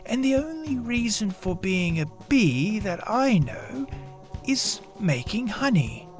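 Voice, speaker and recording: Simple honey-loving cartoony bear for a kids story, high voice, slow n thoughtful, bit of music under it. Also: male voice, big pitch swings, full character voice. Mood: musing. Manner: slow, pondering, repetitive phrasing.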